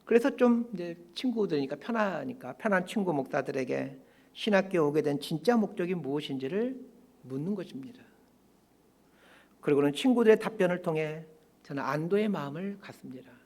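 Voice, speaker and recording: A man in his fifties delivers a prepared, reflective sermon. His voice sits fairly high for a man and moves widely in pitch. He phrases things in a measured way, pausing and then stressing key words as a pastor does. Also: animated, darkish tone. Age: 50s. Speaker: pastor preaching a Sunday sermon.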